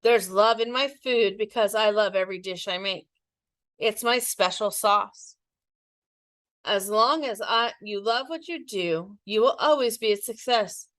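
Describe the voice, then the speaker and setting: A woman's voice: medium pitch, measured pace, a bit bright, no room sound, studio quality, close to an everyday voice. Woman reader as a welcoming older restaurant cook. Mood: kind, encouraging. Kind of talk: children's storybook read-aloud.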